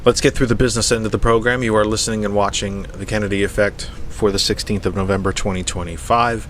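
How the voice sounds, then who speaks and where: A man hosting a live radio talk show, congested and sniffly from allergies, speaking deliberately and repeating phrases for emphasis. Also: fairly low pitch, steady tone, slight background noise. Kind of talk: live internet radio talk, program announcements.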